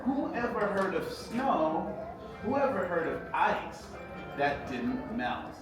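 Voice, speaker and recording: A man reads a children's story aloud as its author, theatrically, with expressive delivery and dramatic pauses. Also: sits high, over loud music. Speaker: author reading own book. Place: echoey indoor event space. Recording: echoey room, distant mic, noisy background.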